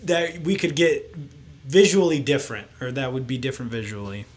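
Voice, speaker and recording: A man's voice: medium pitch, steady tone, a little fast. A man co-hosting a casual movie chat, laid-back, laughing often and reading out viewer comments. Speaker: podcast co-host reading chat.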